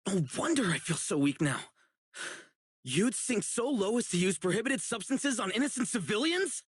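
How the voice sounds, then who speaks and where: A man's voice: high-pitched, sing-song, normal speed, slightly rough, no room sound, studio quality, full character voice. An actor playing an earnest, righteous young male public security officer in a video game, speaking emphatically. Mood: earnest, indignant. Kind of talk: video game character voice acting.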